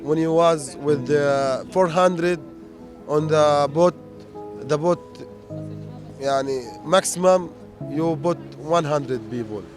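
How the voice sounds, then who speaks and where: A man who speaks natively, recounting a boat crossing in halting, broken English. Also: a little high, music in the background. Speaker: migrant interviewee.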